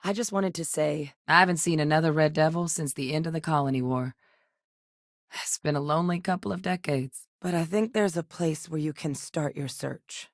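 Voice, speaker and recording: A composed middle-aged woman with a low-pitched voice, recorded very dry. She is an earnest scientist and war veteran in a space video game, articulate and measured in her delivery. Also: no echo. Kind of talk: scripted video game dialogue.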